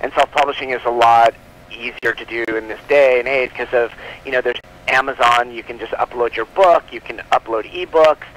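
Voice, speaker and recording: A man's voice: mid-range voice, moderate pace, a bit bright, poor audio. A man talking at length in a casual radio interview as a guest, in a rambling, chatty way. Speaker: guest, comic book publisher.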